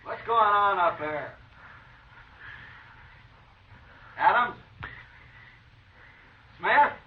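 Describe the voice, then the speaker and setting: An actor playing a bossy, suspicious outlaw in a radio western. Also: male voice, high voice, lively intonation, slow. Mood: alarmed, angry. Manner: barking, bossy. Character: bossy, suspicious Old West outlaw villain. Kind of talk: old-time radio western acting. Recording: muffled, noisy old radio recording.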